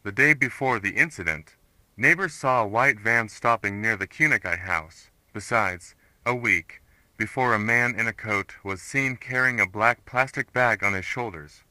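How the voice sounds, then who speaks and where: A man playing a grim retired investigator, reciting a horror case report in a flat, formal manner. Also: lowish voice, normal speed, no room sound. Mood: grim, detached. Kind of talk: scripted narration, analog horror case report.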